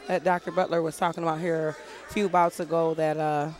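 A man's voice: a little high, flat delivery, fairly quick. A man doing live boxing commentary from ringside in an indoor arena. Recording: loud arena crowd behind voice.

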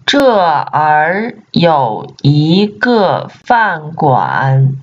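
Woman teacher, extremely slow, lively pitch, repeats each word for learners, dull lo-fi sound. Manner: slow, deliberate repetition of words for learners. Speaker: language teacher. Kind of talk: vocabulary drill reading.

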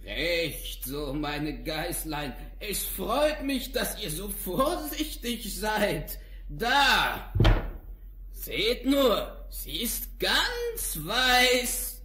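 A sly, greedy wolf from a fairy-tale record. The voice is gruff and forceful, swooping widely in pitch. It drags out its words with theatrical menace. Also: male voice, very animated, very slow, full character voice. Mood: falsely sweet, coaxing. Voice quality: rough, gruff, growling. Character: sly, greedy, hungry big bad wolf. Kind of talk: German fairy-tale audio play acting.

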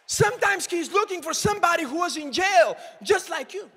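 A young man preaching a sermon to a congregation with a handheld mic, swinging from shouted calls to hushed asides. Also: very high-pitched, animated, moderate pace, gravelly. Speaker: pastor. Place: large church auditorium with congregation. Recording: long hall reverb from the sanctuary.

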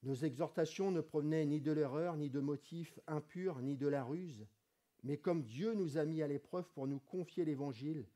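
A middle-aged man preaches in a church hall, his voice a little hoarse from a cold. His voice sits fairly high yet sounds soft and mellow. He talks in a relaxed, conversational way and lifts his voice when he reads scripture. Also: moderate pace. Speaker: pastor. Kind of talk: sermon. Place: church hall with wooden wall, indoors.

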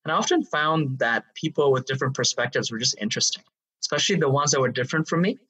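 A man in his thirties from Hong Kong, a guest explaining his consulting work in a measured way with some fillers. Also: mid-range voice, expressive, a bit bright, breathy edge, distant mic, poor audio. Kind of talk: interview answers.

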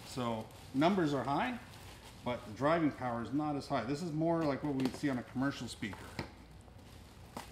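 A middle-aged man giving a casual, explanatory product demonstration in plain-spoken style, talking as he handles the gear. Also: a little high. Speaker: store presenter demonstrating audio gear. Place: indoors in a cluttered audio equipment store. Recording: noisy room recording with clicks.

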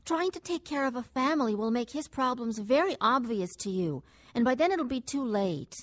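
An actress playing a worried, disapproving sister in a radio drama, frank and insistent. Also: female voice, a little high, expressive, moderate pace, lightly acted.

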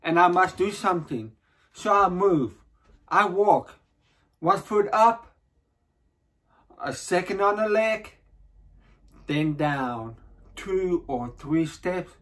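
Man acting an anxious, halting dreamer, very slow. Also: high voice, animated, a bit bright, pitched above the performer's own voice, heavily acted. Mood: dreading, then tense, careful. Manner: halting, short fragmented phrases. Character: anxious, self-conscious dreamer dreading their turn before staring eyes. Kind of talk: dramatic stage monologue.

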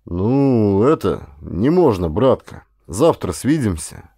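An easygoing Cossack frontier sergeant, acted out by a male reader, rough-hewn and folksy. His colloquial speech has lively pitch, in adventure audiobook style. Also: slow, no echo, clean studio sound, full character voice. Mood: tipsy, resigned.

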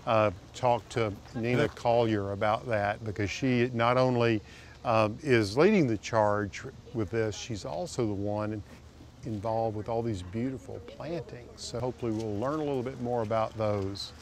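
A man's voice: on the low side, normal speed. Middle-aged guy hosting a garden tour, upbeat and chatty, faint outdoor hum behind him. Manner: enthusiastic, chatty, addresses viewers directly. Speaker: garden show host. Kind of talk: on-location garden tour narration. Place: outdoor farmer's market under trees.

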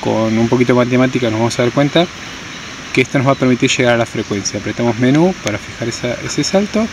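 A man's voice: mid-range voice, quick pace. Man explaining step by step, noisy background. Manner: step-by-step, repeats key terms. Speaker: tutorial presenter. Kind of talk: hands-on instructional explanation. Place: outdoors on stony ground.

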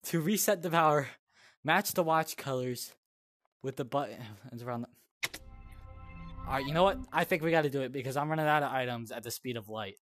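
A man hosting a VR horror let's play, excitable, yelping and shouting when scared. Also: mid-range voice, fairly quick, pauses often. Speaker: gaming host. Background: game music.

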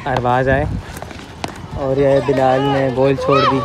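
A man's voice: mid-range voice, takes it slowly. A man commentating on a street cricket match outdoors, describing each ball and cheering the players on. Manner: excited praise of players. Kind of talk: slow, relaxed cricket commentary. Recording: loud outdoor noise on a phone.

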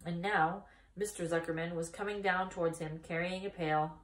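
A woman in her thirties reading a children's book aloud as expressive storytime narration. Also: fairly low pitch, normal speed. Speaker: storytime reader. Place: small indoor room, seated by a wall. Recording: echoey room, distant microphone.

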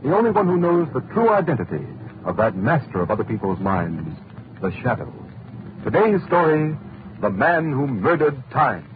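A man's voice: mid-range voice, very animated, normal speed, long pauses, slight background noise. A male radio announcer giving a dramatic, declamatory scripted introduction. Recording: tinny old radio broadcast with music.

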